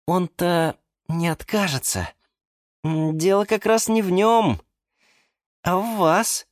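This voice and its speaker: A man's voice: sits high, takes it slowly, no room sound, studio quality, voice pushed up. A man voicing a patient, kind man in a children's story, speaking earnestly and persuasively. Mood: hesitant, resigned.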